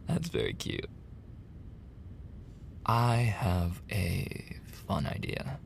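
A man plays a male college tutor in an intimate ASMR roleplay, easygoing and teasing. His soft voice sits low with a heavy creak, and he takes his time with casual, flirty pauses. There is faint noise behind him. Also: expressive, exaggerated voice. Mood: playful, coaxing. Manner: casual, hesitant pauses, soft-spoken, drops into whispers. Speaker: audio roleplay performer.

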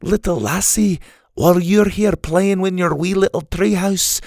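A man's voice: high-pitched, unhurried, a little mellow, no echo, clean studio sound, voice pushed up. A man voicing a crotchety, sarcastic old Scotsman in a storybook. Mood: scolding, sarcastic. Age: older. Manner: grumpy, sardonic, emphatic.

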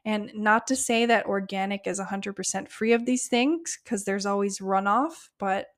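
A woman hosting a solo health podcast, her voice a bit hoarse and giving out, talking casually through lists with small fillers. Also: medium pitch, moderate pace. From United States. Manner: conversational, list-like explanations with fillers. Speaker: podcast host, gut health practitioner.

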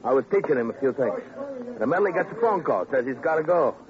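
A man's voice: mid-range voice, sing-song, fast, lightly acted. An actor playing a gabby, motherly boxing gym boss with colorful, wisecracking talk in an old radio drama. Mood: jovial, chatty. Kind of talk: 1950s radio crime drama acting. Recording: dull old radio recording, crowd behind.

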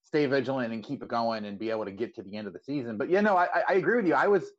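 A man's voice: mid-range voice, expressive, rapid-fire, lo-fi sound. A man hosting a casual sports interview podcast, stammering his way into long, wordy questions.

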